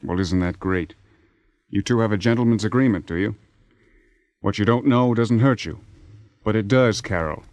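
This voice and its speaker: An actor in a radio drama playing a persistent, impatient theater man, smooth-talking and sardonic as he presses his case. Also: lowish voice, normal speed, long pauses, a little mellow, no echo, close to an everyday voice. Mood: insistent. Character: persistent, persuasive theater man seducing a married woman, impatient. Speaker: lead actor.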